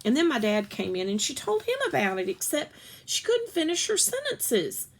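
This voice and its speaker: Woman giving an expressive children's read-aloud. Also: high-pitched, normal speed. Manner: expressive, acts out dialogue, occasional stumbles and self-corrections. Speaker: storyteller reading a children's book aloud.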